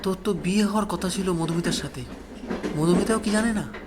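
A disbelieving male police officer, played by a man narrator in a horror audio story. His voice is raised high, urgent and insistent as he questions a friend, with clear noise behind him. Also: a little fast, lightly acted.

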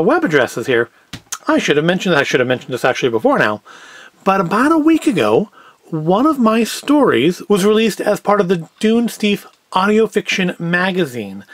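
A man's voice: high voice, no echo. A middle-aged man giving a casual, unscripted product review as host, with wry, sarcastic asides. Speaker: host of a food review show.

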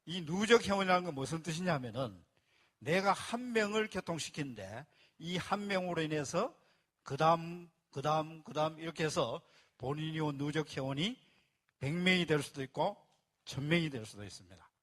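A man's voice: fairly high pitch, animated, normal speed, fairly bright tone. A man presenting a membership plan to a live audience, explaining step by step and repeating key phrases. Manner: speaks to a live audience and asks for applause.

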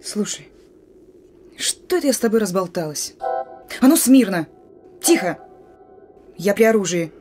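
An actress playing a young, wary partisan sentry in a radio drama, gruff but soft-hearted, with a brusque colloquial manner. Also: female voice, medium pitch, lively intonation, unhurried, long pauses, over music, natural voice. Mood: stern, flustered. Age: young adult.

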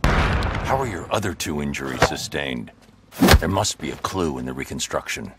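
An actor playing a grim, brooding vigilante detective in a video game, speaking in a terse, grave, deliberate way. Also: male voice, extremely low pitch, lively intonation, very noisy. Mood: grim analysis.

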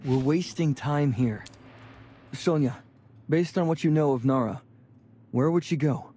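An actor playing a blunt, pragmatic, wary adventurer with a gravelly, husky voice in a video game. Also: male voice, on the high side, unhurried, warm tone, a little hiss or hum, natural voice. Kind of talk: video game dialogue acting.

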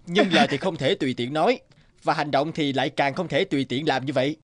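A man's voice: on the high side, fast talker, dry recording, lightly acted. A man playing an upright, kindly young medicine seller in a cartoon, polite and earnest. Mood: righteous.

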